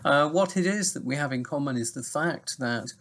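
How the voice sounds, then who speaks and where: A man, giving a recorded lecture from his home study to his university students in a measured, explanatory way. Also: on the high side, expressive, fairly bright tone. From Manchester, England. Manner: measured, explanatory, lots of lists. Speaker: university English teacher. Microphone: webcam at desk.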